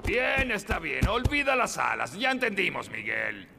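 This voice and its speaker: A man's voice: sits high, very animated, moderate pace, vocal fry, noisy background, exaggerated voice. A man voicing a bossy, impatient cartoon villain who barks out commands. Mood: impatient, scheming. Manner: commanding, exclamatory. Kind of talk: children's cartoon dub. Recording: loud music behind the voice.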